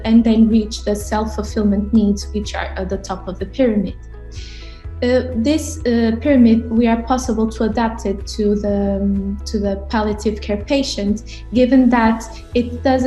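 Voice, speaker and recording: A woman with a Portuguese accent presents like a university lecturer. Her voice sits a little high but has a soft, mellow tone. She moves haltingly, repeating words as she goes, and the sound is noticeably roomy. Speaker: university professor giving a conference presentation. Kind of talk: academic lecture.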